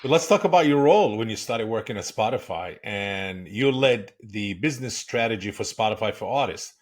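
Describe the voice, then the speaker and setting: A middle-aged man hosts a podcast interview over a video call from a home studio. His voice sits low, and he talks in an eager, affirming way. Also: expressive, fairly quick. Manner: enthusiastic, affirming. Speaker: podcast host.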